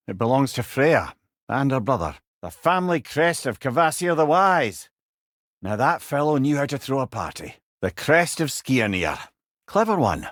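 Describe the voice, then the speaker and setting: A witty, learned older Scottish man as a talking severed head in a video game. His delivery is animated and wry, with eloquent old-fashioned turns of phrase, recorded very dry and close. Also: medium pitch, no echo. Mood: dryly amused. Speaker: companion character in a video game. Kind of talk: video game character dialogue.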